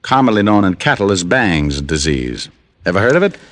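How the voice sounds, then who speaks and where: An actor playing a hard-boiled American private detective in an old-time radio drama, wry, clipped and pushy. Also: male voice, low voice, big pitch swings, moderate pace. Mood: cool, pressing.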